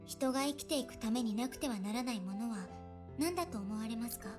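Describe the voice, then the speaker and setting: A woman's voice: on the high side, expressive, lightly acted. A woman voicing a solemn, earnest young church princess in a visual novel, speaking politely and formally. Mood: solemn, explaining. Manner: polite, formal, measured phrasing. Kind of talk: Japanese visual novel voice acting. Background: game music.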